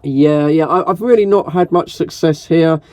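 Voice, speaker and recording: Male hobby host, slightly high voice, very dry sound, chatty and informal delivery. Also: normal speed, a little mellow, no echo. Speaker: video host and radio hobbyist. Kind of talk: casual radio chat and vlog commentary.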